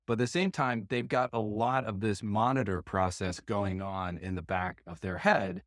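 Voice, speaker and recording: A man's voice: lowish voice, steady tone, moderate pace, dry recording, studio quality. A man hosting a podcast, musing aloud and looking for words with frequent fillers. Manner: rambling, searching for words, many "right?"s. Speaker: podcast host. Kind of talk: podcast interview, reflective questioning.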